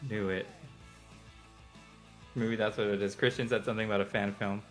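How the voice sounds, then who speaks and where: A man's voice: fairly low pitch, flat delivery, moderate pace, music in the background. A man co-hosting a casual show, bantering and rambling in informal slang. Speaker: show co-host. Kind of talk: casual banter about video game movies.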